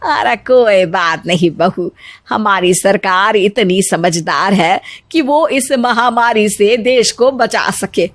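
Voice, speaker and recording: A woman's voice: mid-range voice, slow pace, no echo. A woman voicing an affectionate, proud and opinionated Indian mother-in-law in a cartoon story, exclamatory and instructive. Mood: reassuring. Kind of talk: Hindi animated story acting.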